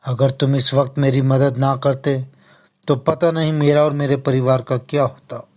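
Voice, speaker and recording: A woman's voice: rumbling low, flat delivery, moderate pace, dark tone, voice pushed down. A woman voicing a haughty male village goldsmith in a dramatized moral story. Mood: humbled, pleading. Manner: contemptuous, scolding. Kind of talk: dramatized children's story dialogue.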